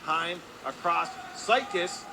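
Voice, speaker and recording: A man calling live ice hockey play-by-play for radio in clipped phrases, getting excited on scoring chances. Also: sits high, drawn-out words. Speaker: play-by-play announcer. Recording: clear background noise, arena ambience.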